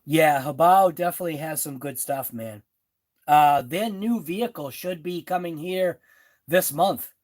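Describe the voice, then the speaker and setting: A man's voice: fairly high pitch, darkish tone. A middle-aged man with a Boston accent, casually chatting on a live stream and answering viewers' comments in a rambling, laid-back way. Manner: slow, rambling, with fillers and laughs. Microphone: phone.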